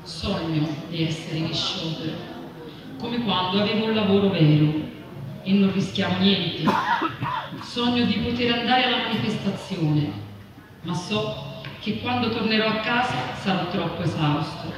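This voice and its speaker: An expressive reader delivers literary prose poetry aloud. The voice is distant from the microphone, washed in long hall reverb, with clear noise around it. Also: mid-range voice, measured pace. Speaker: reader at a public literary reading. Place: live reading at a festival venue. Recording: echoey noisy hall, distant mic.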